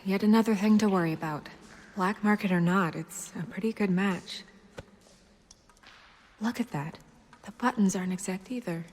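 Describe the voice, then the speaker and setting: A woman playing a composed but uneasy young official in a video game, speaking with restraint and precision in a soft, husky voice. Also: mid-range voice, normal speed, long pauses, a little hiss or hum, lightly acted. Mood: analytical, troubled. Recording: long hall reverb.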